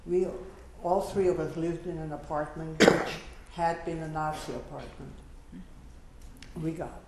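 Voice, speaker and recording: A woman in her eighties, a Holocaust survivor on a panel, giving personal testimony in an earnest, measured way that turns gently urging. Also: deep voice, fairly even intonation, background noise. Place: university lecture hall. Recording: echoey lecture hall.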